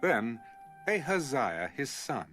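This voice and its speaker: A man narrating an audio Bible in a measured, dramatic storytelling manner. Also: mid-range voice, very animated, a bit bright, faint music behind. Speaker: scripture narrator.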